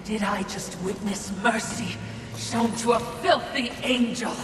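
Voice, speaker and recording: An actress playing a scornful, obsequious servant spirit in a video game, incredulous and sneering. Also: female voice, mid-range voice, a little slow, lightly acted. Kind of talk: video game cutscene acting. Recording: noisy, echoey hall from far off.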